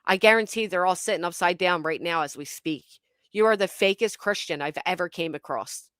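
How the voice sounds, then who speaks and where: A woman's voice: on the low side, fairly quick, fairly bright tone, no room sound. A woman hosting a commentary stream, talking bluntly and scornfully and swearing freely. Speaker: commentary channel host. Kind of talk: casual reaction commentary.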